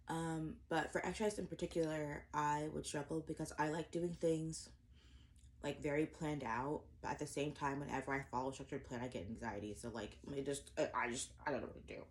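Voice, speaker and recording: Low-voiced young woman rambling over breakfast. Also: a little mellow. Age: 20s. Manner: rambling, self-deprecating, many fillers and restarts. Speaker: vlogger. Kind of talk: casual eat-with-me chat to camera. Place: indoors at home, eating breakfast.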